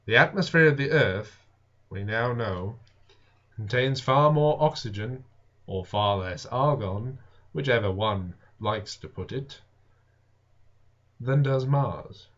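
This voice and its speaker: A man reading a classic science fiction novel aloud as dramatic narration, with deliberate, expressive, theatrical phrasing. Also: medium pitch. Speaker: audiobook reader. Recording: dull lo-fi home recording.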